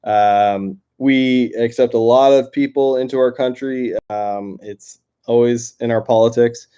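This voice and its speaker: A man's voice: medium pitch, steady tone, a little slow, warm tone, clean tone. A young Canadian man with a Canadian accent, casually chatting and reading viewer comments on a live stream, full of fillers and restarts. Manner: rambling, filler-heavy, many restarts. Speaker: livestream host. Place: outdoors near a lake.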